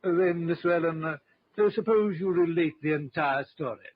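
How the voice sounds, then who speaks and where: An actor playing an inquisitive sea captain who questions hesitantly and deliberately in an old-time radio drama. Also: male voice, a little high, no echo, natural voice. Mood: probing. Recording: muffled, noisy old radio recording.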